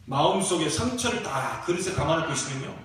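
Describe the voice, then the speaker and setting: A male pastor with a regional Korean accent preaches in a notably high voice. His emphatic exhortations and questions ring through a very echoey church, picked up from a distant mic with faint noise. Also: expressive, a bit bright. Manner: emphatic, exhorting, with rhetorical questions and drawn-out key phrases. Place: church sanctuary during a service.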